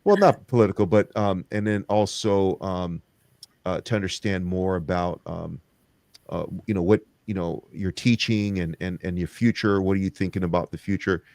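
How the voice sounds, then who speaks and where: Very deep-voiced man hosting a podcast. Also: normal speed, darkish tone, no room sound. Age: middle-aged. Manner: rambling, many fillers and restarts, agreeable. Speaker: podcast host. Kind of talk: conversational interview.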